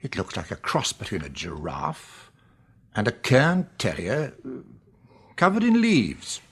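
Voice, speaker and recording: An actor playing a cautious, courteous British managing director in a classic radio drama, formal and a little hesitant. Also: male voice, lowish voice, huge pitch swings, unhurried, slightly rough, full character voice. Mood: astonished, cautious.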